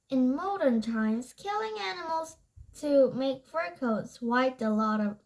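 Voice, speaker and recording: A child reading a nonfiction book aloud, haltingly, stumbling over and rereading words. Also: high voice, rough recording.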